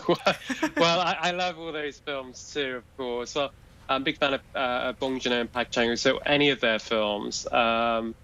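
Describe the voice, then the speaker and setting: A man's voice: medium pitch, fairly even intonation, unhurried, pushed and bright, clean tone, muffled recording. A man speaking as a guest commentator on a news program, talking hesitantly with many fillers and restarts. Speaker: film industry guest commentator. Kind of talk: live studio interview discussion.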